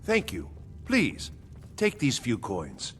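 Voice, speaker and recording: An actor playing a courteous, formal, fussy castle steward in a fantasy video game. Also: male voice, mid-range voice, huge pitch swings, takes it slowly, noisy background. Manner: polite, formal. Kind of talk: video game character dialogue. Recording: long reverb, music behind.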